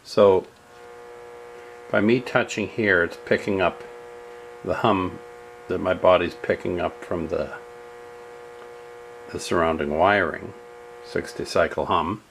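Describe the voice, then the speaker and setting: A man narrating a step-by-step radio repair in a halting way, with many fillers. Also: low voice, fairly even intonation, vocal fry, fairly bright tone, a little hiss or hum, poor audio.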